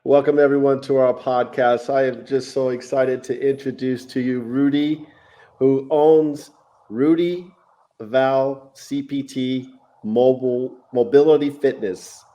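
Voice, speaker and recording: A man with a soft-edged, mellow voice hosts a conversational interview. He sounds enthusiastic and affirming as he puts questions to his guest. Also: medium pitch, fairly even intonation, unhurried, low-quality recording. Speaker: podcast host.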